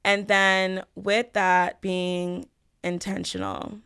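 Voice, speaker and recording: A woman's voice: mid-range voice, level tone, slow, vocal fry, a bit bright, smooth. A woman from the Bahamas and Florida answering interview questions as a guest in a reflective, conversational way. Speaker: podcast guest, family law attorney.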